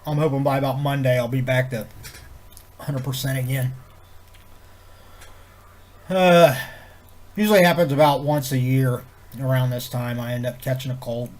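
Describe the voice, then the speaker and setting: A man with a head cold, sounding congested and nasal, chatting to camera in a low-key, meandering way with loose swearing. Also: normal speed, long pauses, darkish tone. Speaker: vlogger. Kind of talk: rambling casual chat to camera.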